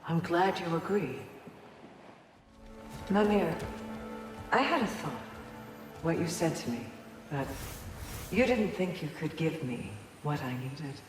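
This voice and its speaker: An actress playing a solemn, gentle and resolute valkyrie in a video game, speaking in measured, earnest phrases. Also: female voice, low voice, lively intonation, music in the background. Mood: thoughtful, earnest. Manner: measured, deliberate, earnest delivery with pauses. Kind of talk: dramatic video game voice acting. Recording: echoey long reverb, faint background noise.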